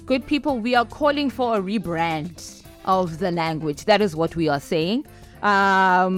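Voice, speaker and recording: A woman hosting a podcast, addressing her listeners as "good people" and affirming her guests. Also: mid-range voice. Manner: slow, warm-welcoming, affirming. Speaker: podcast host.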